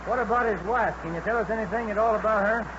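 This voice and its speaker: An actor playing a plain-spoken American police detective partner in a radio drama, reporting in a terse, matter-of-fact way. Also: male voice, high voice, quick pace, close to an everyday voice. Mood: questioning. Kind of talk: 1950s radio drama acting. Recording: muffled old radio recording, faint hum.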